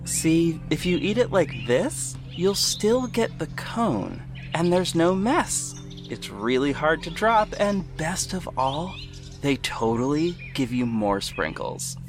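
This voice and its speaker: Man acting a gentle protective hero, music behind. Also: fairly high pitch, big pitch swings, takes it slowly, pitched above the performer's own voice, heavily acted. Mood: friendly, playful. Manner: gentle, encouraging, talking as to a child. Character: kind, protective male hero reassuring a small child. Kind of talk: audio drama dialogue.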